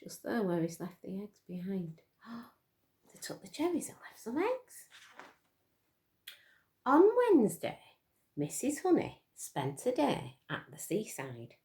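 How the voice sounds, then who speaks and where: Woman, children's storytime reader, expressive, slow, big pitch swings, addressing little listeners. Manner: expressive, dramatic gasps and questions to listeners. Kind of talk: animated picture-book storytelling to young children.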